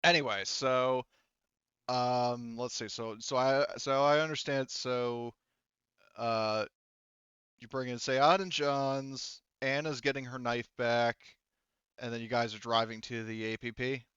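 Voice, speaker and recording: Guy game mastering, rambles and swears, smooth clear voice, dry close sound. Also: medium pitch, fairly even intonation, measured pace, a bit bright, no echo, muffled recording. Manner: rambling, profane. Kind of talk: casual tabletop roleplaying session.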